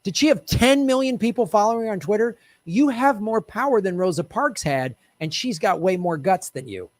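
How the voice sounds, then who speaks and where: A man hosting a political show, with a high voice that swings widely in pitch. He rants loudly and swears freely, calling viewers to action. The sound is dry and close. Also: expressive, moderate pace, no room sound. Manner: loud, profane ranting; talks straight to viewers, urging them to act. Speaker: host of a political commentary show. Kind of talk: fiery political commentary.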